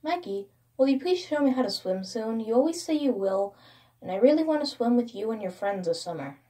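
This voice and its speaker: Woman voicing a pleading, high-pitched little boy. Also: lively intonation, a little fast, warm tone. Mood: hopeful, pleading. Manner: pleading, earnest. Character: eager, pleading little brother, a young boy. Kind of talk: horror story narration with acted dialogue.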